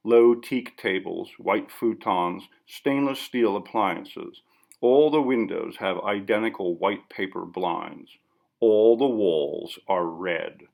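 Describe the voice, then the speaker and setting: Man, audiobook narrator, literary fiction, sober and measured, unhurried pace, gravelly fry. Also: fairly low pitch.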